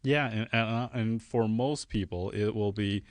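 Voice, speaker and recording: Man, podcast host, low-pitched, upbeat, affirming, dry studio mic. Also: a little slow, no room sound. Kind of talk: podcast interview.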